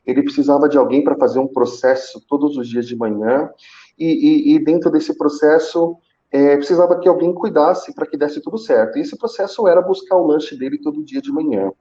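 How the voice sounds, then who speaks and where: A Brazilian man speaking Portuguese with a Brazilian accent, telling his own story conversationally as an interview guest, haltingly, with repeated words and pauses. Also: fairly high pitch, mellow, lo-fi sound. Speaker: guest, call center senior manager. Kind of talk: conversational personal account in a live interview.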